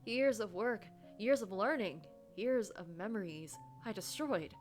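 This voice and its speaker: A woman's voice: mid-range voice, expressive, measured pace, soft background music, natural voice. Woman voicing an anxious, wounded, self-loathing office worker. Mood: panicked regret. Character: anxious, guilt-ridden, self-loathing office worker narrating own heartbreak.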